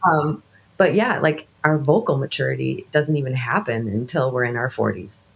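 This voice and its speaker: A woman's voice: extremely low pitch, normal speed, vocal fry, a little hiss or hum, poor audio. A woman in her late thirties, a worship leader fighting a cold, talking casually with many restarts and fillers. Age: late 30s. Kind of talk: casual conversation.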